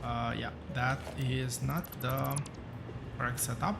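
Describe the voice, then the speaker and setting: A man with a rough voice, thinking aloud as he hosts a relaxed gameplay commentary, with many fillers and pauses. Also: mid-range voice, very noisy. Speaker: gaming channel host.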